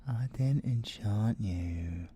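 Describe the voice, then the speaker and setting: A man acts out a possessive male siren with a British accent in an ASMR roleplay. The voice is very dark and soft-edged, with a breathy huskiness. Lines unfold extremely slowly and theatrically, teasing and gloating, sometimes sinking into a whisper. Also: lowish voice, exaggerated voice. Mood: gloating, hypnotic. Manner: theatrical, teasing, slow and deliberate, slipping into whispers.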